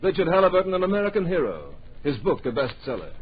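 An actor playing a dramatic, authoritative narrator in an old radio drama, telling the story in clipped present tense. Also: male voice, fairly high pitch, huge pitch swings, quick pace. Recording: muffled, noisy old radio recording.

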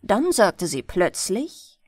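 A woman narrating a bedtime fairy tale in an expressive storytelling style, as an audiobook reader. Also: medium pitch, slow, no room sound, studio quality.

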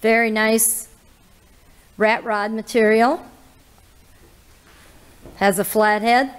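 A woman, hosting a casual auction walkthrough in an old barn full of cars, listing items with little "uh" pauses. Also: fairly high pitch, fairly even intonation, smooth. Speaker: auctioneer host giving a car walkthrough. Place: inside old barns and sheds full of cars.

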